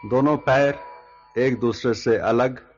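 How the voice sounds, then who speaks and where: A man leading a guided yoga nidra relaxation as instructor, giving measured instructions in short phrases with long pauses. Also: mid-range voice, dull audio. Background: background music.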